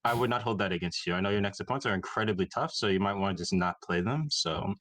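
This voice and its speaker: A man's voice: lowish voice, fast, fairly bright tone. A man hosting a chess stream and interviewing a player casually, friendly and joking, with some repeated words. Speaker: stream host.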